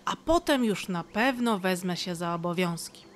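An actress playing a cheeky, curious young squirrel, chatty and optimistic, in a children's audio play. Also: female voice, fairly low pitch, expressive, normal speed, a little hiss or hum, natural voice. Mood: cheerful.

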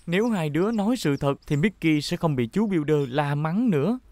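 A woman voicing a calm, kind heroic rescue car in a Vietnamese cartoon dub, speaking with patient, earnest reassurance. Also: deep voice, a little fast, no echo. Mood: patient, firm.

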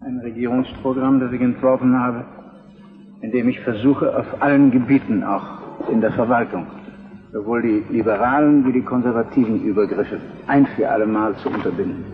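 An older man acting a weary, dutiful king of Prussia in a historical radio drama, grave, measured and formal. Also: medium pitch, close to an everyday voice. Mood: solemn. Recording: dull reverberant radio play recording.

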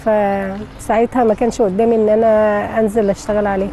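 A woman's voice: mid-range voice, little pitch movement, fast talker, over music, poor audio. A middle-aged woman with a Port Said Egyptian accent, telling her story casually in plain, short colloquial answers outdoors. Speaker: taxi driver being interviewed. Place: city street, outdoors. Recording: faint outdoor street noise.

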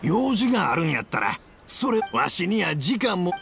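A man's voice: high-pitched, big pitch swings, moderate pace, vocal fry, background noise, heavily acted. A man playing an easygoing, hard-of-hearing old father in an anime-style game, with folksy Kansai dialect. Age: older.

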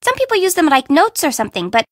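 A woman's voice: high voice, huge pitch swings, fast, no room sound, studio quality. An actress voicing a flippant, sassy young fashion-minded city girl in a video game, with a teasing, slangy, blunt way of talking. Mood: casual. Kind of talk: video game character dialogue.

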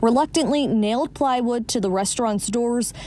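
A woman's voice: fairly high pitch, normal speed, a little mellow. An American woman in her twenties delivering a news report as a TV reporter. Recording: faint background noise.

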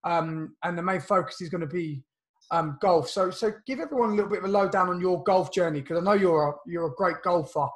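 Croaky thirties male podcast host on a webcam call; casual, filler-heavy, slightly high pitch. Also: a little fast. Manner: chatty, loose, lots of fillers and restarts. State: croaky voice from illness. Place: home room, indoors. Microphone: video call webcam.

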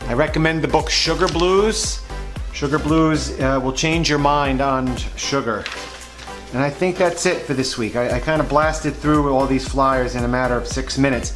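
A man's voice: mid-range voice, normal speed, fairly bright tone. A man hosts a vlog, talking casually and hesitantly about supermarket flyers, with many fillers. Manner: rambling, hesitant, full of uh fillers. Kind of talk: casual unscripted commentary. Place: indoors at a table, voice-over to overhead shots. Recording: music playing under the voice. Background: background music.

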